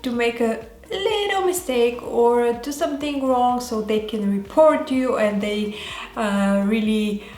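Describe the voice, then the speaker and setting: A woman with a Bulgarian accent talking casually as a vlogger, in a halting way that often pauses mid-sentence. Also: on the high side, darkish tone. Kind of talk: casual talk to camera. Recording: roomy echo, faint music behind.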